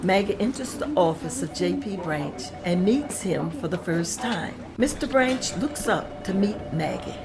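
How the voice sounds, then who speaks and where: A woman's voice: fairly low pitch, expressive, measured pace, few pauses, a crowd in the background. A woman reading out scene directions at a script table read in a plain, descriptive manner.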